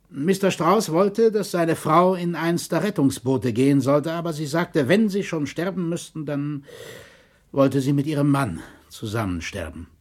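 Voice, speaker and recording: A man playing a colonel and historian, a shaken shipwreck survivor giving measured, formal testimony in a documentary radio drama. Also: on the high side.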